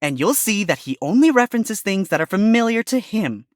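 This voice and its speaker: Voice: medium pitch, big pitch swings, dry recording, studio quality, natural voice. A wisecracking fox lawyer, voiced by an actor. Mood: arguing. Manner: quick, wry, exclaiming objections. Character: wisecracking male fox defense attorney.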